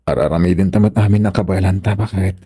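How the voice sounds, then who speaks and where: A hardworking Filipino farmer and father in a radio drama, played by a male actor. His voice is low, dark and husky, that of a steady, reassuring husband. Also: fast, heavily acted. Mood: concerned, weary.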